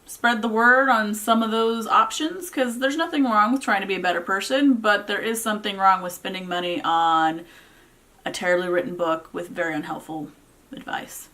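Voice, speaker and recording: A woman's voice: mid-range voice, moderate pace, sharp tone. Casual woman chatting through a review. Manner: conversational, informal, thinking aloud. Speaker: book reviewer, vlog host. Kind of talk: casual unscripted review talk to viewers.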